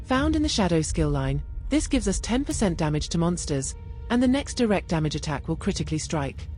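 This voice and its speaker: A woman narrating a scripted gaming build guide in a measured, explanatory way. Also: fairly low pitch, very animated, darkish tone. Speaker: gaming video creator and narrator. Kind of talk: game build guide voice-over. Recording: music behind the voice. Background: music.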